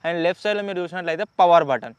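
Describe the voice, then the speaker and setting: A man's voice: high-pitched, racing, clean tone, dry recording, lo-fi sound. A man with a Telugu accent hosting a casual unboxing vlog, chatty and explanatory, mixing in English words. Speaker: YouTube host.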